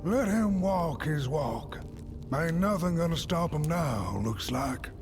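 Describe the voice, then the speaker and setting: An actor playing a folksy male wayside sage in a dark fantasy video game, speaking in a colloquial, theatrical way. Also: a little high, expressive, slow. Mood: wistful, knowing. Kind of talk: video game character acting. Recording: music behind the voice. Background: music.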